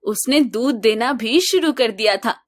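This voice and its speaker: A woman narrating an animated village story in a slow, even storytelling manner. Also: fairly high pitch.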